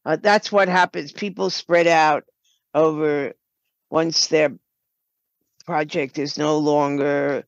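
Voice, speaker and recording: A woman's voice: low-pitched, fairly even intonation, takes it slowly, long pauses. An older woman tells stories conversationally as a podcast guest. The recording is slightly dull and lo-fi, like a remote connection, with very little room echo around her. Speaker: podcast guest, writer and producer. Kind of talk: conversational storytelling.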